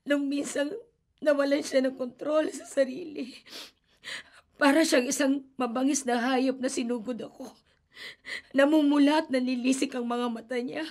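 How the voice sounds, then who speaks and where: A high-pitched woman's voice, an anguished mother pleading and confessing in an emotional rush. It is a studio radio drama performance. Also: fairly even intonation, unhurried, darkish tone. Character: desperate grieving mother.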